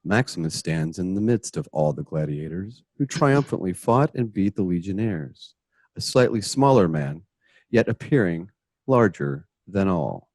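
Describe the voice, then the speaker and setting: Male narrator, very low pitch, husky, scripted radio drama narration. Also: very animated, unhurried, a little mellow, dry recording.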